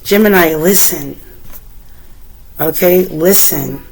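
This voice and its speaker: A woman with a deep, gravelly voice that still carries the roughness of a fading cold. She gives a casual tarot reading, speaking gently to the viewer and wandering between her own musings and passages read aloud from a guidebook. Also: slow, heavy background noise. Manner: gentle, meandering, talks to viewer directly. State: recovering from a cold. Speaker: tarot reader.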